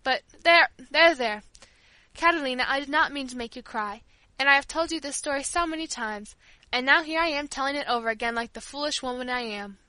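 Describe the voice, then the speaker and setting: A woman's voice: high voice, brisk, sharp tone, dry recording. A woman voicing a kindly, grieving old female servant who tells her tale tenderly in an audiobook. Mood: sorrowful, tender. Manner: tender, fond, rambling storyteller. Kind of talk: audiobook character dialogue.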